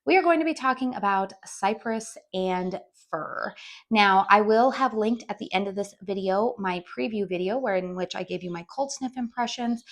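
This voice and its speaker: A woman with a raspy voice from a head cold, casually reviewing a candle outdoors in a chatty way with lots of ums. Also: mid-range voice. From US West Coast. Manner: chatty, frequent ums and restarts. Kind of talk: casual product review vlog. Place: outdoors with birdsong.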